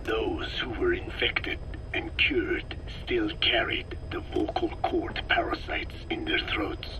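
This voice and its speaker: An actor playing a cold, older male scientist who lectures slowly in a gravelly whisper, on a game audio tape. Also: on the low side, low-quality recording. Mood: clinical. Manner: slow, lecturing, measured. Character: cold elderly male parasite scientist, calm and clinical. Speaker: scientist explaining parasites. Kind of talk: game audio log acting.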